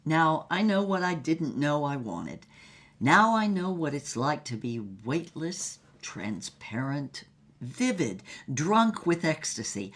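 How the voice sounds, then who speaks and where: Audio drama: theatrical actress diarist, rapturous and florid, unhurried dramatic reading. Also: female voice, low-pitched, heavily acted. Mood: grand, rapturous. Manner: florid, theatrical, measured phrasing. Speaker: actress reading her journal.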